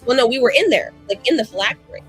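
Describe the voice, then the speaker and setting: A woman playing a tabletop roleplaying game, chatting casually in slangy, excitable, improvised speech with some swearing. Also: a little high, very fast, slightly rough, faint music behind.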